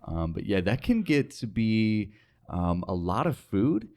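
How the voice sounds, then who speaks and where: A man's voice: fairly low pitch, big pitch swings, a little slow, a little mellow. A young American man talking casually as a guest in an interview. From United States, North Dakota. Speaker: interview guest, radio sales associate.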